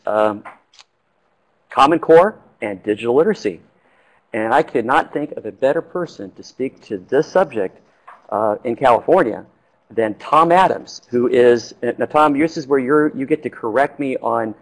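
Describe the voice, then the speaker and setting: A man's voice: medium pitch, low-quality recording. A man hosts an online webinar over a call. He introduces the speaker in a rambling, stumbling way, with frequent uhs and repeated words. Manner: rambling, stumbling, many uh fillers. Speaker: webinar host.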